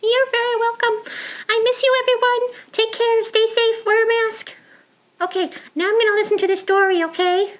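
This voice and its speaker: A woman's voice: extremely high pitch, little pitch movement, normal speed, pitched above the performer's own voice. A woman voicing a chirpy, eager puppet pal for a children's storytime, with a bubbly, childlike manner. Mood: sweet, chatty. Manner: bubbly, childlike, talking to preschoolers.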